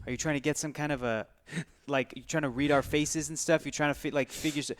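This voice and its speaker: A man with a fairly high-pitched voice talks casually as a review panelist. He is emphatic, leans on rhetorical questions and often repeats himself for effect. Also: steady tone, fast talker, slight background noise. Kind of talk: casual chat.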